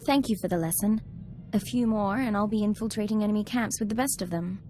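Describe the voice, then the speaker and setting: An actress playing an eager, respectful young military ensign in a video game, earnest and formal yet personable. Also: female voice, medium pitch, fast, music in the background, natural voice. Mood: reflective, friendly. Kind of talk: video game cutscene acting.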